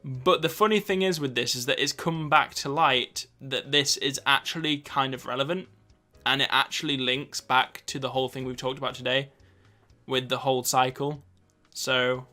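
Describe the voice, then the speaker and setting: A man joining a casual discussion as guest, talking in a relaxed, agreeable way. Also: mid-range voice, moderate pace, soft background music. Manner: relaxed, agreeable, chiming in briefly.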